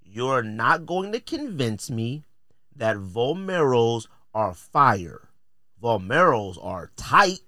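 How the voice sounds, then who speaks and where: An American man hosts a casual podcast in a very lively voice that swings up and down. He is blunt and joking, full of slang and theatrical emphasis. The sound is close and dry. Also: mid-range voice, takes it slowly, no echo. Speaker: podcast host. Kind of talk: casual opinionated podcast commentary.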